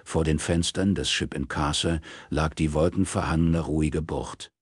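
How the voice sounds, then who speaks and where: A man reading literary prose as an audiobook narrator in a measured, sober manner. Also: very deep voice, steady tone, breathy edge, dry recording, clean studio sound. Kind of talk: scripted literary narration in German.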